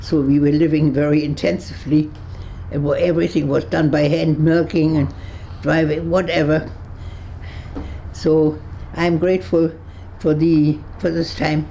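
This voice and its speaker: A woman over one hundred, from Berlin, recalling her past in an interview, halting and searching for words with frequent restarts, and laughing easily with dry humor. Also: deep voice, level tone, dark tone, a little hiss or hum. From Berlin, Germany. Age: 100s. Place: her home on a kibbutz.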